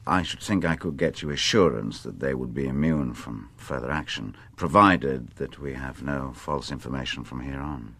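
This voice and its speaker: A man playing a shrewd, patient police inspector in a radio drama, questioning in a measured, politely probing way. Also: a bass voice, sharp tone, husky, no echo, exaggerated voice. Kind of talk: radio drama acting, interrogation dialogue.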